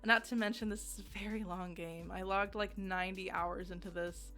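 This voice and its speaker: A woman's voice: medium pitch, steady tone, normal speed, bright, forceful tone, faint music behind. A woman giving a casual, conversational video game review in a giggly, self-deprecating manner.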